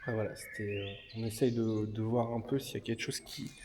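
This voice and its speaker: A man's voice: on the low side, level tone, fairly quick. A man exploring an abandoned industrial building, talking hesitantly with many fillers and calling out to spirits. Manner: hesitant, many fillers, drops to hushed murmurs. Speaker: paranormal investigator. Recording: echoey hall with faint noise.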